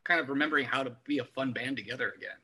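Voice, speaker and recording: A man with a very sharp, bright and somewhat raspy voice speaks as a podcast co-host. He lays out music history in an orderly, explanatory style. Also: medium pitch, rapid-fire. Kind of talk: informed music discussion.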